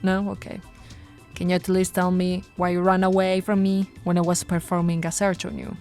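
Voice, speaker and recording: A woman's voice: on the low side, monotone, normal speed, a little mellow, soft background music, natural voice. A woman voicing a flirty but firm policewoman in an ASMR roleplay, with a teasing, coaxing, authoritative manner. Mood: calm, professional. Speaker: voice actor in roleplay audio.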